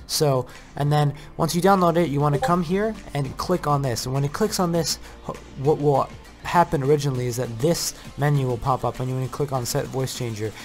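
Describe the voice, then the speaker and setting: A man hosting a casual software tutorial, explaining things step by step. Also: on the high side, brisk, hardly any pauses. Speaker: video tutorial host. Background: faint music.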